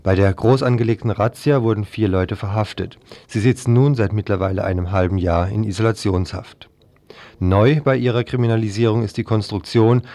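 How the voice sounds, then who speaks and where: A man reads scripted news on the radio in a lowish voice. His tone is soft-edged and dark, and the recording is close and dry. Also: no room sound. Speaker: radio presenter.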